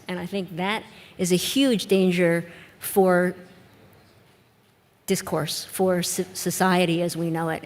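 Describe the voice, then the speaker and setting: A woman with a Midwestern American accent, an educator answering on a discussion panel in a measured, reflective way with frequent restarts. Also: on the low side. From United States. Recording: long reverb of a conference hall.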